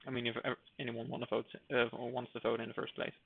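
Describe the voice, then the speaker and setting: A man's voice: mid-range voice, monotone, fast, gravelly, dry recording. A man giving casual live commentary while streaming a game, thinking aloud with hesitant fillers. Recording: dry, phone-like narrow sound.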